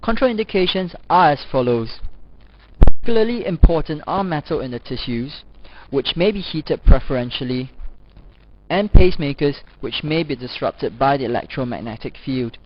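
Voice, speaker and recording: A man giving a scripted instructional narration as a lecturer, formal and measured, as if reading aloud. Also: fairly high pitch, lively intonation, slight background noise. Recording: dull lo-fi voice-over recording.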